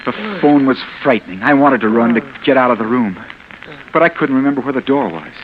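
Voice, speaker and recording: A young actor in a 1940s radio drama playing a frightened fugitive who narrates in a tense, hushed voice, heard through a muffled old broadcast with loud hiss. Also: male voice, mid-range voice, animated, a little fast, lightly acted. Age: young adult. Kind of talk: 1940s radio acting, first-person narration.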